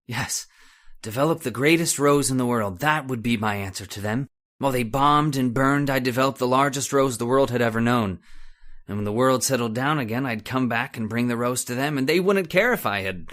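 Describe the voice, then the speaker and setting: A man's voice: medium pitch, normal speed, fairly bright tone, natural voice. A man playing a haunted, domineering chemist in radio horror, with broken, repetitive, brooding speech. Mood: obsessive, defensive. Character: haunted, obsessive male chemist, domineering and bitter. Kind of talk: old-time radio horror acting.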